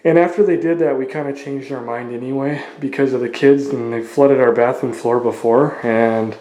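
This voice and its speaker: A man's voice: medium pitch, fairly even intonation, moderate pace, warm tone. A young man chatting casually as he walks through his unfinished house, talking into his phone camera, rambling with unsure asides and a sore throat from paint fumes. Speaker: vlogger touring his house under construction. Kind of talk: casual walkthrough vlog. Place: empty house interior with bare walls. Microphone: phone camera held by the speaker. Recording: echoey empty rooms.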